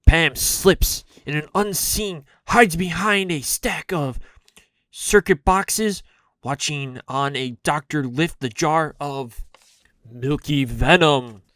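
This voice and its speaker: A man narrating a campy retro serial in a theatrical, deliberate, scripted style. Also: a little high, bright, forceful tone, no room sound.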